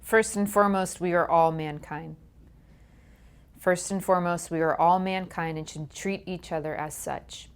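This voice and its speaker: A woman hosting a human rights program, delivering a scripted educational talk in a measured, earnest way, her voice fading quieter at the ends of phrases. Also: on the low side, fairly even intonation, smooth.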